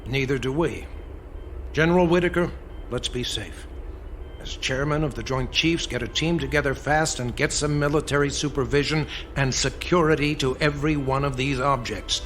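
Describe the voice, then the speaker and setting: An actor as a forceful, bright-voiced commanding president. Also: male voice, medium pitch, natural voice. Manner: authoritative, questioning, firm. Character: commanding, cautious US president in a crisis. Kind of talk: radio drama acting.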